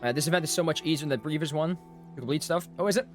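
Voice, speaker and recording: A man with a slightly high voice chats casually during a game livestream. Light music plays behind him as he talks. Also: very fast. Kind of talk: casual chat while playing.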